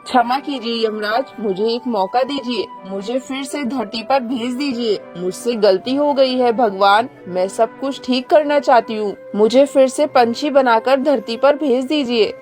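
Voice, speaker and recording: A woman acts out a proud female crow from a kids' cartoon fable. Her voice sits fairly high and her delivery is theatrical and declamatory, with music clearly playing underneath. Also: a little slow, few pauses, a little mellow, natural voice. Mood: pleading. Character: haughty, selfish female crow, later a remorseful heron. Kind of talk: children's animated moral story acting.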